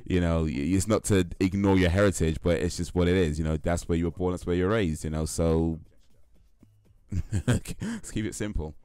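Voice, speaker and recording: Low-voiced guy chatting on his stream, laughs a lot, lots of ums, dead dry sound. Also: normal speed, dark tone, clean tone, no room sound. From United Kingdom. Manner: laid-back, laughing, lots of fillers and repeats. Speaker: livestream host. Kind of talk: casual chat with stream viewers.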